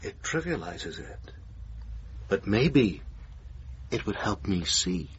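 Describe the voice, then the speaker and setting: A man's voice: fairly low pitch, big pitch swings, takes it slowly, exaggerated voice. A man acting a needling, sceptical theatre manager and lover in a naturalistic radio drama, with a dry, probing manner. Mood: goading. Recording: dull lo-fi radio recording, faint noise.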